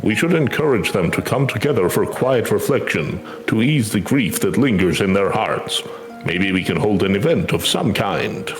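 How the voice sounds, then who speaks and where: A man voicing a courteous, thoughtful builder from a fantasy game, speaking in a measured, formal, polite way. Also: on the low side, animated, over music, close to an everyday voice. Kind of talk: amateur game dialogue read-aloud.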